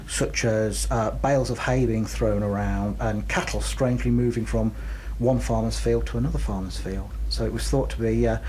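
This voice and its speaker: A man's voice: medium pitch, darkish tone, slight background noise, low-quality recording. A man co-hosting a radio show and reading news items in a studio. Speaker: radio co-host.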